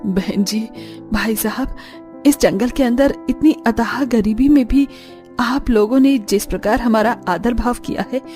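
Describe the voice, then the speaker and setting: A woman's voice: on the high side, measured pace, dark tone, soft background music, close to an everyday voice. A woman voicing a caring, anxious middle-aged mother-in-law in a cartoon story, with a motherly, fussing manner. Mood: warm. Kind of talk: Hindi cartoon story dialogue.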